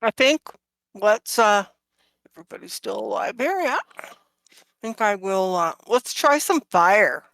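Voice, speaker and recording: A woman's voice: mid-range voice, very animated, a little slow, pauses often, fairly bright tone, no echo. A woman who plays at a tabletop game and thinks aloud, hesitating as she weighs her moves. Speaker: player.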